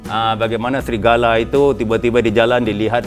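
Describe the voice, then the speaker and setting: A man's voice: flat delivery, quick pace, faint music behind. A man with a Malay accent hosting a casual motivational business talk, chatting with frequent fillers and sudden emphatic rises. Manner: conversational, with frequent fillers and pitch jumps for emphasis. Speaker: host. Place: indoor room with a small group present.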